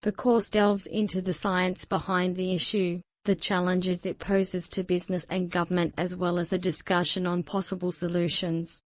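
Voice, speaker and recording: Low, even-toned woman reading formally. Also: moderate pace, few pauses, dry recording. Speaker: reader of exam practice passages. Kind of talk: scripted read-aloud narration.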